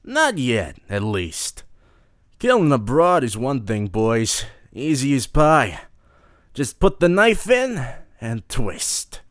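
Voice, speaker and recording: A man's voice: medium pitch, huge pitch swings, takes it slowly, a bit bright, no echo, voice pushed up, full character voice. Man acting a hot-tempered gangster boss, close and dry. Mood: scheming, cocky. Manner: snappy, hissing, old-time gangster slang. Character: hot-tempered scheming male gangster boss, sharp-toothed royal agent. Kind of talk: audio drama noir gangster acting.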